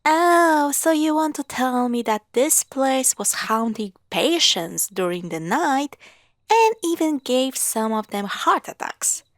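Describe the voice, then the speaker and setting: A woman's voice: high voice, lively intonation, no room sound, clean studio sound. A woman playing a skeptical, teasing young girlfriend in an audio roleplay, chatting casually with friends. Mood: amused, skeptical. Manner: casual, teasing, hesitant pauses. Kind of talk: audio roleplay acting, conversational.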